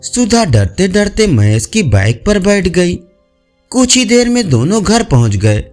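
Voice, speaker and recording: A man narrating a scripted audio story with measured, expressive storytelling delivery. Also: high voice. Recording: music bed behind voice. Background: background music.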